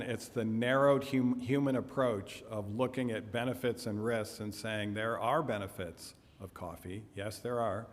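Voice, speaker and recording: A man giving a health lecture and answering audience questions, measured and trailing off softly at phrase ends. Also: lowish voice. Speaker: health seminar speaker. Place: church hall. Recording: echoey hall.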